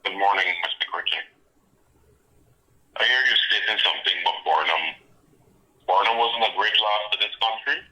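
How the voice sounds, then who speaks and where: A man's voice: fairly low pitch, steady tone, moderate pace, long pauses, sharp tone. A man in his forties calling in, insistent, repeating himself and talking over the host. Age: 40s. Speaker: caller. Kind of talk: call-in debate. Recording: tinny phone line.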